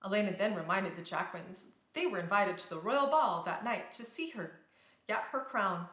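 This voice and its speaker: A woman's voice: medium pitch, moderate pace, fairly bright tone. A woman narrating a children's storybook aloud, reading expressively and carefully. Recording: roomy indoor echo.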